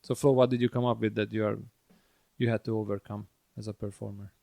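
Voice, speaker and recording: A man's voice: fairly low pitch, flat delivery, fast talker, gravelly, dark tone, no room sound. A man with a Hungarian accent, a comedian chatting casually with dry, blunt humor and casual swearing. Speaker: comedian and podcast host. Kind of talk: casual chat.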